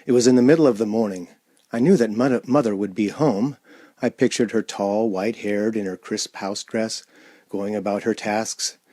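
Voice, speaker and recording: A man playing a frightened, unraveling traveler in a suspense radio play, speaking dramatically and haltingly as he tells his story. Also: on the low side, mellow, no room sound, natural voice. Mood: hopeful, wistful. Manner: dramatic, halting, repeats words in distress.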